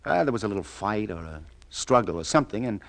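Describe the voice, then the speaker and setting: An actor playing an awkward, apologetic police lieutenant in a 1950s radio crime drama, with a hesitant, stammering delivery. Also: male voice, fairly low pitch, lively intonation, fast talker. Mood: reluctant, uneasy. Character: awkward, apologetic police detective lieutenant breaking bad news.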